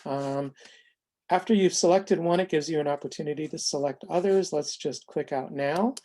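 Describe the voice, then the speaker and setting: An American man presenting an unscripted explanatory demo of a software tool, speaking in a measured, halting way with frequent pauses. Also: fairly high pitch.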